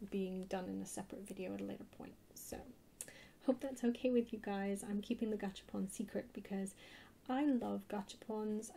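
A soft-spoken woman chatting casually in a rambling way for an ASMR haul video. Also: mid-range voice, poor audio. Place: indoors at a table, hands-only camera. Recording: clear background hiss or noise.

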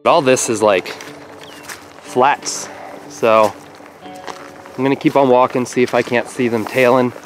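A young man casually narrating his fishing trip, speaking quietly as he stalks fish by a lake. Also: steady tone, slow pace, long pauses, soft background music. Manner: hushed and low while stalking fish. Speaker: fishing vlogger. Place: outdoors by lake flats, partly in a car. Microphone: action camera on head or in hand.

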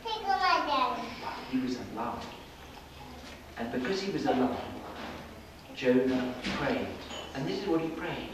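A middle-aged man reading a scripted story aloud to children in a dramatic, deliberate way with pauses. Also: fairly high pitch, wildly swooping pitch. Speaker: storyteller at a children's puppet show. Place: children's classroom-like room, indoors. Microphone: far camera. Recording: echoey room, distant camera mic, noisy.

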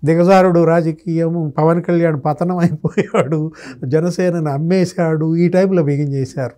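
Casting: male pundit in his fifties, high-set but soft-edged voice, storytelling delivery. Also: steady tone, moderate pace. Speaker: senior journalist, guest analyst. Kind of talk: conversational political commentary. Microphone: lapel mic.

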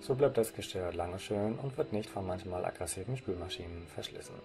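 Deep-voiced man reading a scripted presentation. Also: fairly quick, music in the background. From Germany. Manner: formal, read-out delivery. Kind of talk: scripted narration of a slide presentation.

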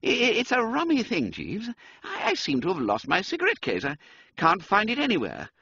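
A man's voice: high-pitched, huge pitch swings, a little fast, gravelly, pushed and bright, husky, no room sound, voice pushed up, full character voice. A man doing a flustered, stammering young toff. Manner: stammering, hesitant, with upper-class slang. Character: flustered young English gentleman, genial and dithery. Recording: dull, dry, muffled sound.

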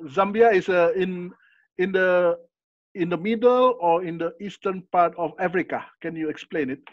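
A man hosting an interview over a video call through a headset, asking questions in halting English full of fillers and encouraging replies. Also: high voice, no room sound. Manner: halting non-native English, frequent fillers and encouraging backchannels. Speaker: interview host. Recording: dry lo-fi headset audio.

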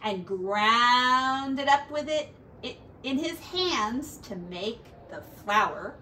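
High-voiced, expressive woman reading a storybook. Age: middle-aged. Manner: expressive, unhurried, deliberate. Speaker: teacher reading a picture book to children. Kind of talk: animated children's storybook read-aloud. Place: small home room, indoors. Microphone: camera a few feet away. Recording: distant camera mic, faint background noise.

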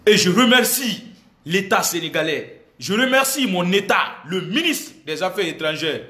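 A man's voice: high voice, very animated, normal speed, pushed and bright. A man in his thirties from Senegal speaks emphatically to his phone at arm's length, repeating himself and switching languages. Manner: emphatic, repetitive, switching between French and a local language, gesturing. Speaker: activist addressing followers. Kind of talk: impassioned selfie video statement. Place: indoor room with air conditioner. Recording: echoey room on a phone.

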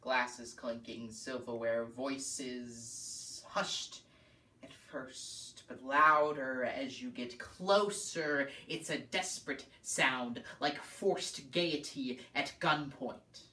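Man acting brooding, scornful painter, slow and intense. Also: medium pitch. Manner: deliberate, swelling from hushed to snarling. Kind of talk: theatrical dramatic monologue. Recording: echoey room, distant mic.

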